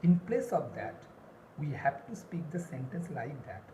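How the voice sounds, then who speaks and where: A woman teaching spoken English in a deliberate, instructional way, repeating key phrases for emphasis. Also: low voice, very animated, dark tone. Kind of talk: instructional lesson with numbered points and examples. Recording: roomy echo, clear background noise.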